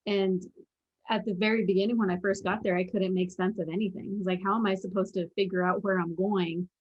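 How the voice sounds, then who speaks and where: A woman's voice: medium pitch, steady tone, a little fast, darkish tone, husky, highs cut off. A young adult woman speaking as an interview guest, earnestly telling her story and quoting her own past thoughts. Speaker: guest, former pro basketball player and mental performance coach.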